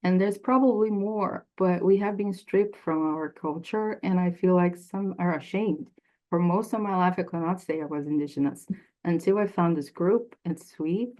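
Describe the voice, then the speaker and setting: A woman's voice: fairly low pitch, moderate pace. A woman from Peru speaking on a panel in a heartfelt, personal way. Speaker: panelist, engineer. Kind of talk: casual panel talk. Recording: dull lo-fi sound.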